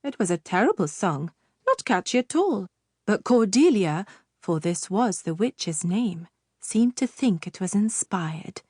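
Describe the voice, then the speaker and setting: A woman narrating a children's fairytale audiobook with measured, expressive storytelling. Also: medium pitch, husky, no echo, studio quality.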